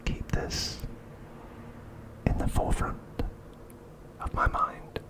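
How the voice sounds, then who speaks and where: A man hosting an ASMR video, speaking in a hushed, deliberate whisper. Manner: hushed, slow, deliberate delivery.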